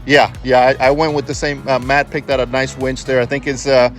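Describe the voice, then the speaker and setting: A man with a mid-pitched voice chats informally as a car channel host. He is enthusiastic and casual throughout. Clear music plays behind his voice. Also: flat delivery, a little fast. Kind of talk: casual vlog chat.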